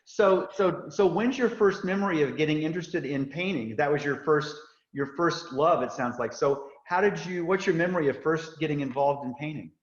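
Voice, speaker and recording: A man interviewing an artist casually over a video call, eager and quick to jump in, often repeating words. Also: on the high side, reverberant, highs cut off.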